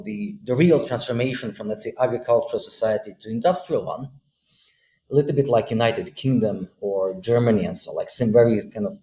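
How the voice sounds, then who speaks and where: A man's voice: mid-range voice, a little mellow. A man in his thirties from Bosnia, a podcast guest on a video call, explaining ideas with many fillers and restarts. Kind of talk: thoughtful conversational explanation. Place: room with a window. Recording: roomy video call audio with faint hum.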